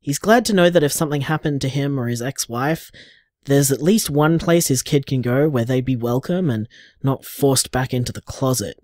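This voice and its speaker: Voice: medium pitch, expressive, moderate pace, a little mellow, no room sound, studio quality, lightly acted. An actor playing an affectionate, emotional partner leaving candid, conversational voice messages for a lover in an audio drama. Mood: affectionate, relaxed. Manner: candid, tender, conversational, sighing, mild swearing.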